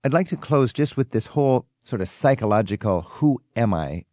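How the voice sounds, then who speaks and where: A man's voice: mid-range voice, animated, normal speed, a little mellow, no room sound, clean studio sound. A man hosting a travel radio show, asking guests friendly, leading questions. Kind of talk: radio interview.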